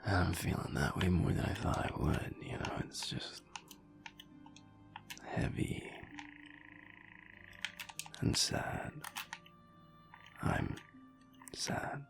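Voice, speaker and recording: A man playing a sad, wistful young alpha werewolf in an intimate audio roleplay, talking haltingly in a low, rumbly half-whisper. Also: lively intonation, vocal fry, soft background music, full character voice. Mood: sad, heavy. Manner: halting, hesitant, trailing off.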